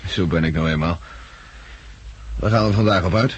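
An actor with a Netherlands Dutch accent playing a blind, plain-spoken war veteran, wry and stoical, in a 1960s radio drama. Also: male voice, low voice, normal speed, breathy edge, dull audio. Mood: wry, resigned. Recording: noisy, clicky 1960s radio recording.

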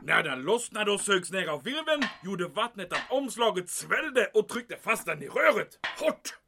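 Male actor as secretive military commandant, high swooping voice, clipped officious manner, audio drama, faint background noise. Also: big pitch swings, creaky, full character voice. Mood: composed.

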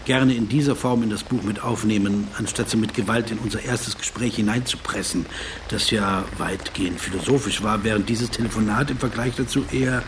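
An actor playing a polite, self-conscious writer in a German radio play, probing hesitantly and adding dry, ironic asides. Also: male voice, lowish voice, steady tone, brisk, background noise, close to an everyday voice. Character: wry, polite, self-conscious male writer interviewing a celebrity. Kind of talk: German radio play dialogue and inner narration.

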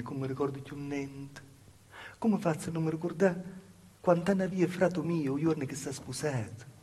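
A man performing a theatrical dialect monologue as a Calabrian peasant woman recalling her hard past, in a halting, plaintive storytelling manner with a Calabrian accent. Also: medium pitch, a little mellow, husky.

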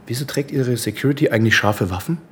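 An actor playing a calm, dryly polite but firm police inspector with a southern German accent in a radio drama. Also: male voice, mid-range voice, quick pace, close to an everyday voice. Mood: indignant, firm. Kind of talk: naturalistic radio drama acting.

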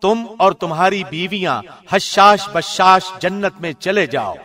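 A man reads a scripture translation formally, with the measured diction of a radio presenter. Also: high voice, expressive, sharp tone, soft background music. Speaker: translation reader, radio host.

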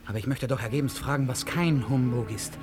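Despairing self-mocking man, played by an actor, radio play monologue, medium pitch, theatrical brooding delivery, music in the background. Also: brisk, close to an everyday voice. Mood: bitter, mocking. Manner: theatrical, brooding, swinging from murmur to outburst. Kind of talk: German radio play acting, inner monologue.

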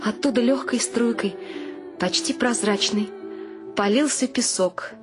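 A woman plays a wry storyteller in a radio drama. Her fairly high voice narrates an eccentric family tale, with music clearly behind it. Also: measured pace, lightly acted. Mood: hushed wonder.

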